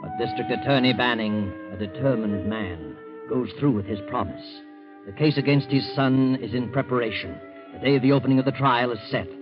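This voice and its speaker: An American actor playing a sardonic, mysterious storyteller, narrating a 1940s radio mystery in a deliberate, theatrical way. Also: male voice, medium pitch, natural voice. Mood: sardonic, ominous. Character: sardonic mysterious male storyteller of crime tales. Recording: muffled old radio broadcast, music behind.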